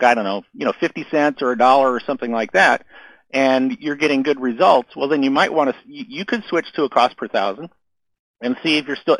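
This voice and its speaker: A man's voice: mid-range voice, fairly bright tone, no echo, poor audio. A man giving a casual online marketing webinar, answering questions, losing his voice and coughing now and then, with lots of fillers and repeated words. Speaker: webinar presenter.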